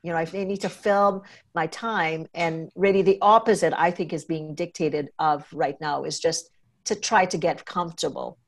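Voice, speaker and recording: A woman from Trinidad and Tobago hosts a podcast, talking in a relaxed conversational way. Her voice sits fairly low for a woman. She brings in stories and acts out the quoted lines. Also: normal speed. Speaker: podcast host and consultant.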